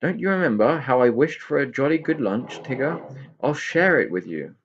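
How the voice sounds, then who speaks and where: A man voicing an eager, excitable young male pixie in a children's storybook, with a husky voice and exclamatory delivery. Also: medium pitch, moderate pace, dull audio, slight background noise, voice pushed up, natural voice. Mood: excited.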